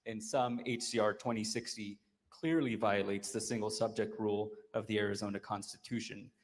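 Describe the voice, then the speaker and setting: A man who is a state legislator, giving a formal, deliberate floor speech to explain his vote. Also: fairly low pitch, fairly even intonation. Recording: long reverb in a legislative chamber.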